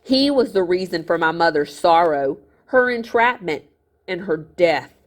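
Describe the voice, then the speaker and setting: A woman narrates a children's novel aloud. Her pitch sits a little low and she takes her time, reading expressively as a storyteller. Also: animated, measured pace.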